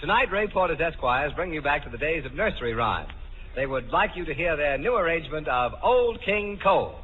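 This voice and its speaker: A man's voice: a little high, sing-song, a little fast. A man announcing in a formal 1940s radio style. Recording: dull, noisy 1940s recording in reverberant hall.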